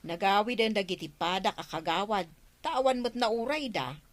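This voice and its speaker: A woman's voice: medium pitch, lively intonation, moderate pace, no echo, lightly acted. A woman with an Ilocano accent playing a grumbling, gossipy village official in a radio comedy drama. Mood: irritated. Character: grumbling female village official fed up with her captain.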